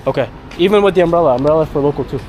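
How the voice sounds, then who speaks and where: A man's voice: on the high side, very fast, background noise. A Canadian man walking through a city market outdoors, chatting upbeat to his viewers as a travel vlogger. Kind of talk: handheld travel vlog narration.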